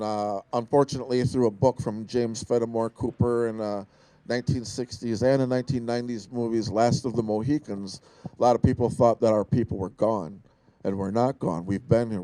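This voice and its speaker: A man's voice: lowish voice, mellow, no echo, poor audio. Big-voiced man giving an earnest speech. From Wisconsin, USA. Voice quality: big, carrying voice. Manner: earnest, storytelling, with frequent "uh" and restarts. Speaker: invited speaker. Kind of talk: public speech at an outdoor event.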